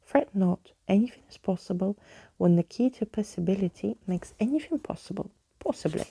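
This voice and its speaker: A woman's voice: medium pitch, expressive, fairly quick, creaky, dark tone, slightly rough, dry recording. A woman voicing a bold, theatrical skeleton man in a storybook, grandly spoken and playful. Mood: confident. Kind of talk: storybook character in ASMR reading.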